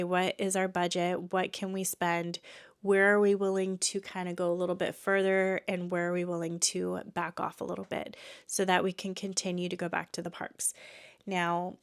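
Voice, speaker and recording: A woman's voice: on the low side, smooth. A woman in her thirties from Texas, congested from allergies, hosting a podcast in a chatty way with frequent fillers and restarts. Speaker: podcast host. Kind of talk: casual chat.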